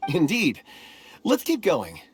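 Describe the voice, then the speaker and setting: A man's voice: fairly high pitch, wildly swooping pitch, slow pace, exaggerated voice. An actor playing a mild, thoughtful young man in a video game, speaking politely and reflectively.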